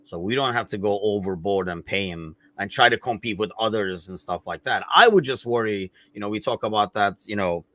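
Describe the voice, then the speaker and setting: A man's voice: lowish voice, brisk, few pauses, fairly bright tone, no echo. A man hosting a live football podcast, talking casually with lots of fillers and frequent laughs. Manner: rambling, many fillers, laughs often. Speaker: podcast host.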